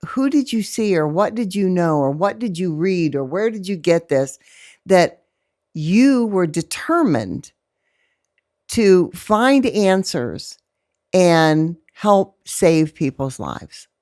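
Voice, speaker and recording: Slow, low-voiced woman interviewer. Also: animated, a little mellow. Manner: measured, drawn-out questioning. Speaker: interview host. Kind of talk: interview questions.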